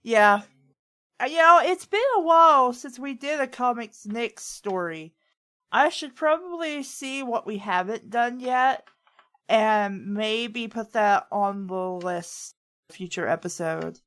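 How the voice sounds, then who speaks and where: High-voiced woman chatting casually. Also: animated, takes it slowly, fairly bright tone. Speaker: host of a fan fiction reading show. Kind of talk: casual chat.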